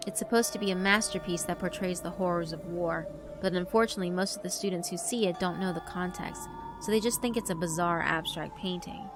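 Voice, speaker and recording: Low-pitched woman narrating horror over music. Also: brisk. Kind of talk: scripted horror visual novel narration. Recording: music behind the voice. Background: background music.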